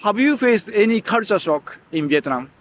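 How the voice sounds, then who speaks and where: A man's voice: high voice, very animated, normal speed, a little hiss or hum. A man interviewing tourists on a beach with short, simple, enthusiastic questions. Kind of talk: casual street interview. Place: beach, outdoors.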